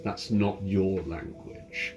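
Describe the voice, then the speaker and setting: Man doing a solemn suspicious dragon, low quiet voice, slow pointed questions, tabletop game, music behind. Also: fairly even intonation. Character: wary, solemn captive dragon questioning small strangers.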